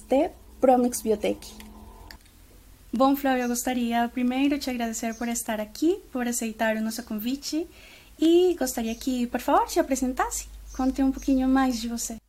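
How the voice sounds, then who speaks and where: A woman hosts an interview and asks her questions politely. Her voice sits a little high, with a mellow, soft tone. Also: moderate pace. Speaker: interviewer, event host. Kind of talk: hosting an interview.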